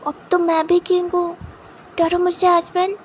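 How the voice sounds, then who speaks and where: A woman's voice: fairly even intonation, moderate pace, slight background noise. A woman voicing a playful, pouting young man who boasts that he is not afraid. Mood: proud. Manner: higher, louder teasing voice.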